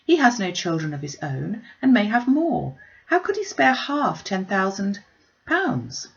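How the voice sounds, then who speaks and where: A woman voicing a gentle, forgiving young gentlewoman in a classic novel, earnest and measured. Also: mid-range voice, very animated, gravelly, dull audio. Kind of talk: classic novel read aloud.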